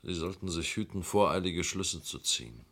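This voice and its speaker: A man's voice: deep voice, animated, moderate pace, a bit bright. An actor playing an indignant, defiant man under accusation in a German crime radio play, stiff and scornful. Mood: defensive, scornful.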